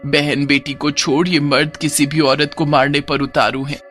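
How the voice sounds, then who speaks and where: A man's voice: on the high side, little pitch movement, normal speed, a bit bright, soft background music, close to an everyday voice. A man voicing a worried older village mother who frets and laments in a cartoon moral story. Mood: worried, lamenting. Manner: fretful, explanatory.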